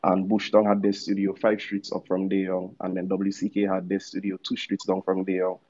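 A man's voice: low-pitched, monotone, fairly quick, mellow, highs cut off. A man in his thirties from Dominica with a Dominican accent, a musician telling his story in a casual, laid-back interview with slangy asides. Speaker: musician guest.

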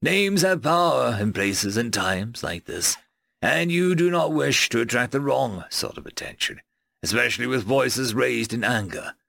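A man playing a mild, fussy bureaucrat in an audiobook, speaking in precise, formal, gently cautioning sentences. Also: medium pitch, animated, unhurried, vocal fry, no room sound, clean studio sound, exaggerated voice. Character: mild, fussy, unthreatening bureaucrat negotiator from London. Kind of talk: audiobook character voice.

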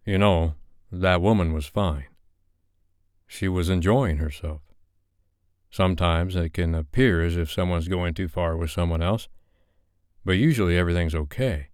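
A man voicing a calm, patient young man who speaks in a soft, coaxing way. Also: sits low, normal speed, pauses often, smooth, no room sound, clean studio sound, natural voice. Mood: reassuring.

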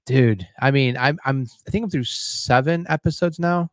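A man's voice: medium pitch, moderate pace, dry recording, studio quality. An American man co-hosting in loose, profane casual chat, slightly sniffly. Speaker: co-host.

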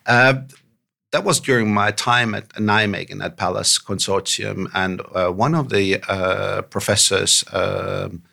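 A middle-aged man with a deep, gravelly voice answers interview questions in a conversational way. He stumbles through restarts and fillers and laughs often, and there is a bright, forceful edge to his tone. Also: fairly even intonation, unhurried. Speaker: podcast guest.

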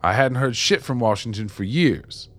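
An actor playing an old captive government agent in a radio play, weary and defiant, with a blunt, dry delivery. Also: male voice, mid-range voice, expressive, normal speed, a bit bright, dry recording, natural voice. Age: older.

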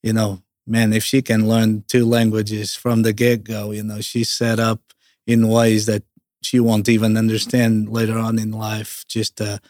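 A man in his thirties from Portugal with a Portuguese accent, a guest telling his family story in an interview, talking haltingly with many restarts. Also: on the low side, monotone, darkish tone, no room sound, studio quality. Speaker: Salvation Army officer, guest.

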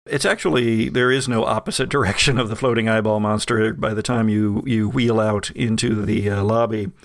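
A man running a tabletop game as game master, narrating with frequent laughter and halting restarts. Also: lowish voice, steady tone, no echo.

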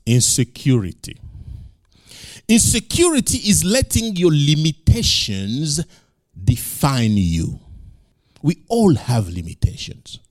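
A male pastor preaching in English, which is not his first language, building to loud emphatic peaks and repeating lines for effect. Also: fairly high pitch, very animated, slow, no room sound, studio quality. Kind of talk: sermon.

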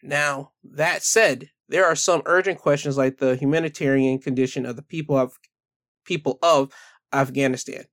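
A man's voice: medium pitch, steady tone, moderate pace, a bit bright. A man hosting a casual solo podcast, talking loosely with restarts and self-corrections, then reading news articles aloud. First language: English. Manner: rambling, self-correcting, chatty. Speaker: podcast host.

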